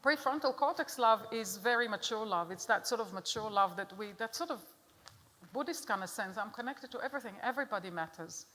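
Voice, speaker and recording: A woman's voice: fairly high pitch, animated, brisk, pushed and bright. A middle-aged woman from Israel with an Israeli accent gives an earnest, direct talk to an audience and puts questions to them. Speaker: speaker giving a talk. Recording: long hall reverb.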